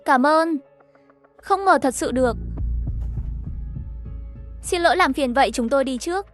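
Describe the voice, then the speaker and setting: A woman with a clearly high-pitched voice narrates an animated horror tale. She reads scripted lines and the characters' dialogue herself. Music plays behind her voice. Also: measured pace. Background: background music.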